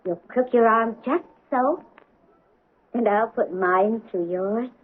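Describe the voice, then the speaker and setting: Haunted young bride, fragile, slow husky-breathy voice, pleading, 1970s radio drama. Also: female voice, on the high side. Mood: dreamy. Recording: tinny, narrow 1970s radio recording.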